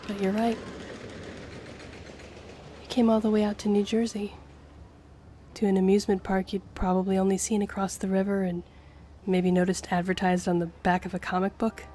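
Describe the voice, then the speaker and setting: A woman's voice: mid-range voice, flat delivery, normal speed, long pauses, mellow, lightly acted. A woman playing a friendly, earnest young woman with a shy romantic streak in an intimate audio roleplay, speaking to the listener. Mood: earnest, warm. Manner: chatty, earnest, flustered hesitations when romantic. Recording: clear background ambience noise.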